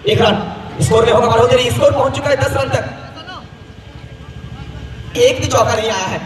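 Casting: male cricket commentator, very high, shouting over PA echo from afar. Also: moderate pace, slight background noise. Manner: rhyming, showy, shouted commentary. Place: floodlit outdoor cricket ground. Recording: echoey PA sound from far off, loud crowd.

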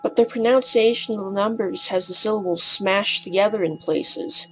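A woman narrates an amateur documentary from a script, speaking carefully with a slight hesitancy and some breathiness. Her voice sits at a middling pitch, and music plays behind her. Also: normal speed, poor audio.

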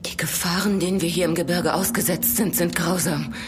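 Woman playing a fearful, hushed young village woman. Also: fairly low pitch, fairly even intonation, a little fast, over music, close to an everyday voice. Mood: resigned, solemn. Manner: hushed, sorrowful, resigned. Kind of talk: German radio horror drama acting.

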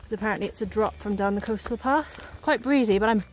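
A young woman with a Swansea Welsh accent, chatting excitedly as she vlogs a windy clifftop walk, filmed on a handheld camera. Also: mid-range voice, fast, poor audio. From Wales. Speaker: vlogger. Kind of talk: casual outdoor vlog. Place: windy clifftop coast outdoors. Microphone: handheld camera at arm's length.

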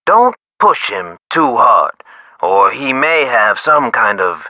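A man's voice: mid-range voice, big pitch swings, slow, sharp tone, no echo, heavily acted. A man playing a chatty, eccentric hospital nurse in a video game, explaining things with theatrical, whimsical emphasis. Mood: earnest, explanatory. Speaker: nurse character in an adventure game.

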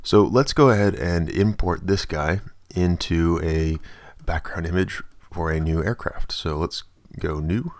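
A low-pitched man hosts an informal software tutorial. He explains each step in a casual, conversational manner. The voice-over is dry and close to the mic, with very little room sound. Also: no room sound. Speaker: tutorial host.

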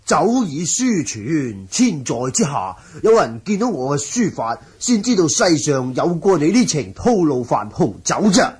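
Raspy, blustering eccentric. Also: male voice, a little high, sing-song, measured pace, few pauses, a little mellow, full character voice. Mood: boastful, indignant. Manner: blustering, theatrical. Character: boastful, excitable, eccentric calligraphy-obsessed manor lord. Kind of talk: 1980s Cantonese radio drama acting.

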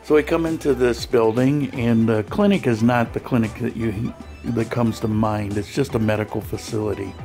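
Gravelly-voiced man narrating his vlog. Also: mid-range voice, normal speed, faint music behind. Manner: relaxed, conversational, with frequent fillers and restarts. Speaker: vlogger. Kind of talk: voice-over narration of a clinic visit.

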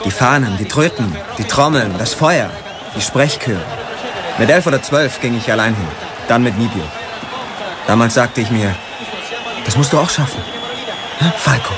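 A man's voice: medium pitch, moderate pace, long pauses, background noise, natural voice. A man playing a fervent football ultra who recalls his life as a chant leader, in dramatized audiobook narration. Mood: nostalgic, proud. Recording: loud music and stadium crowd behind.

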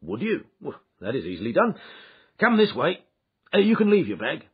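Man narrating, putting on a big hearty old-school inspector, loud and bluff, voice swings around, slightly muffled recording. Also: fairly high pitch, wildly swooping pitch, normal speed, breathy edge, pitched above the performer's own voice, full character voice. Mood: matter-of-fact. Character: bluff, hearty middle-aged male police inspector.

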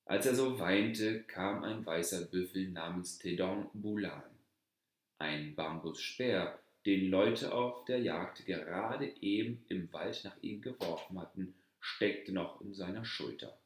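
A man reading a fairy tale aloud in calm, measured storybook narration that sinks lower in sad passages. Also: echoey room.

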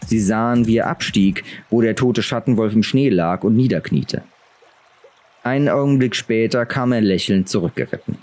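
A man's voice: fairly low pitch, moderate pace, a little mellow. A man narrating a fantasy audiobook from a script.

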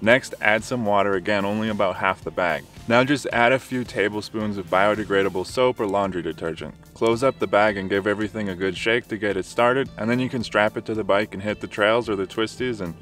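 A man's voice: lowish voice, fairly quick, few pauses, a bit bright, faint music behind. A man in his thirties giving friendly, direct how-to tips at a forest campsite, filmed on a camera held at arm's length. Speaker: motorcycle camping vlogger. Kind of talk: scripted tips vlog.

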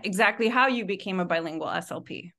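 Woman podcast host, medium pitch. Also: quick pace, pushed and bright. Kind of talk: podcast interview hosting.

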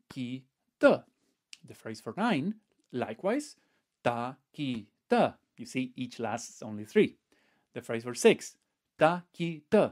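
Guy in his 50s doing an online music lesson, medium pitch, explains step by step with little pauses. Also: darkish tone. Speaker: music teacher, online video host. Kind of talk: instructional explanation to camera.

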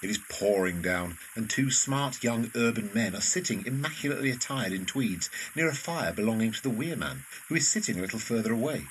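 Low-pitched male drama narrator. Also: expressive, fairly quick, hardly any pauses, fairly bright tone, slight background noise, poor audio. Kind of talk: scripted narration of stage directions.

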